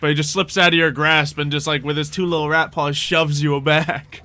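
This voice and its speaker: A man running a tabletop game, narrating scenes off the cuff in a casual, halting way. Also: a little high, level tone, bright, forceful tone. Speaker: game master.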